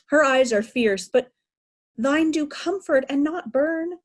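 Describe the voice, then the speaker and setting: A woman playing a proud aging king, raging at ungrateful daughters in a declamatory Shakespearean style. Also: high voice. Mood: pleading. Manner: declamatory, deliberate, anguished. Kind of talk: Shakespearean reader's theatre acting.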